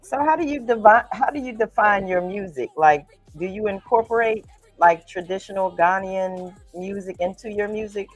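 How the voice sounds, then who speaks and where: A woman's voice: mid-range voice, normal speed, faint music behind, poor audio. An American woman hosting an internet radio interview. She asks questions casually, says "like" often, and restarts her sentences. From United States. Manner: peppers questions with "like", restarts them. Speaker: radio show host. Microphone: video call.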